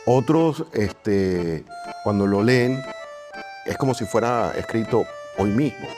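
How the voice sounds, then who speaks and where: A man's voice: on the low side, lively intonation, slow, music in the background. A man hosting a conversational interview, putting his points in a tentative, meandering way. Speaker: podcast interviewer.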